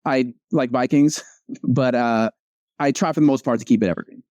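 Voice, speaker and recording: A man, a comic strip creator, chatting casually as a guest, relaxed and filling his talk with "like" and "um". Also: mid-range voice, moderate pace, dry recording, muffled recording. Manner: relaxed, frequent "like" and "um". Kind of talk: casual conversation.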